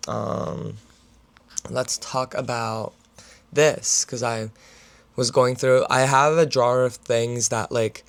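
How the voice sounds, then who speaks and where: A young man in his twenties, a student, chatting casually to camera in a rambling vlog, full of fillers, his voice raspy right now. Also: medium pitch, slow pace. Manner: filler-heavy, talks with his hands. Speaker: student vlogger.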